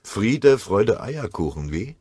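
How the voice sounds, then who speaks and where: A man's voice: fairly low pitch, big pitch swings, slow, darkish tone, husky, no room sound, clean studio sound, pitched above the performer's own voice, full character voice. A man playing a stubborn, suspicious army private in a war audiobook, grumbling and mocking.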